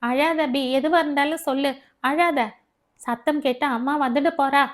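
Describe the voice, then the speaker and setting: A woman acts out a caring young woman from an audio story. Her voice sits high, and she speaks with urgent concern, questioning and counselling like a worried close friend. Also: steady tone, normal speed, close to an everyday voice. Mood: worried, soothing.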